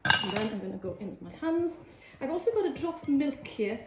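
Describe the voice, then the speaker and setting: A cheerful Welsh woman talks through a recipe in her own kitchen, her voice sitting a touch high. She is chatty and homely and explains as she goes. The room rings a little, and faint clinks of bowls and utensils sit underneath. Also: big pitch swings, brisk, a bit bright, slight background noise. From Wales. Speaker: cookery teacher. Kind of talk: casual cooking demonstration. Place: kitchen, indoors. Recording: echoey kitchen with faint clatter.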